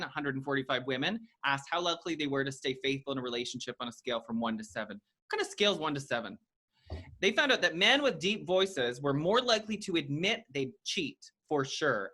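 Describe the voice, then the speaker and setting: Bright-voiced man, lively and teasing. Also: on the high side, fast. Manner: playful, teasing, laughs often. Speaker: co-host. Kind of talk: casual podcast chat.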